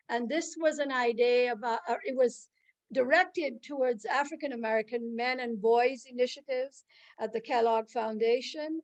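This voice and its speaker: A woman from Guyana introducing a speaker in an online conversation, earnest and stopping often to search for words. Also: on the high side.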